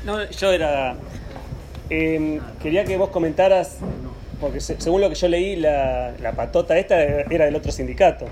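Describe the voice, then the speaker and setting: A man's voice: on the high side, moderate pace, darkish tone, a little hiss or hum. A man in the audience asking a question, hesitant and rephrasing as he goes. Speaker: audience member.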